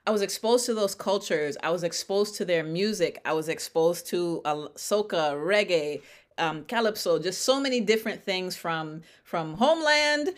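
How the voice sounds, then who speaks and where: A woman who grew up in Connecticut, a guest on a podcast, telling her story in a relaxed, chatty way with frequent fillers. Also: fairly low pitch. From Connecticut, United States. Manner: animated storyteller, laughs often, many fillers. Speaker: podcast guest, music therapist. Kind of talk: casual podcast conversation.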